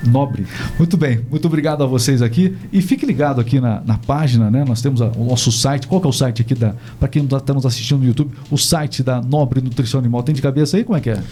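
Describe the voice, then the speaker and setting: Chatty podcast host, mellow voice. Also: male voice, medium pitch, fast. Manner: chatty, frequent restarts and tag questions. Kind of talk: casual interview chat. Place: studio.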